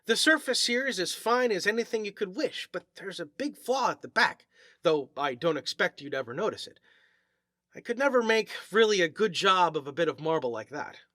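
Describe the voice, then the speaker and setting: A man performs a big working-class stonemason from a storybook, proud of his trade and plain-spoken. The voice is bright and forceful, pitched fairly high for a man. He chats bluntly and colloquially, recorded close and dry. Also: lively intonation, normal speed, no room sound. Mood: chatty, explaining. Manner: blunt, colloquial, chatty, mild cursing.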